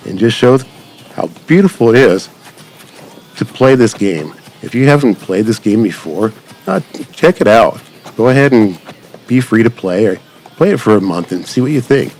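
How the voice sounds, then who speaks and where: Deep-voiced man rambling over music. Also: big pitch swings, moderate pace, darkish tone. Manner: rambling, long run-on sentences. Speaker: gaming channel host. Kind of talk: casual unscripted game showcase commentary.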